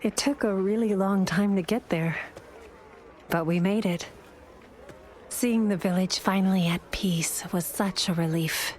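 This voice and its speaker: An actress playing a solemn, thoughtful young travelling companion in a video game. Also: female voice, medium pitch, unhurried, darkish tone, a little hiss or hum, close to an everyday voice. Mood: relieved, gentle. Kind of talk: video game dialogue acting.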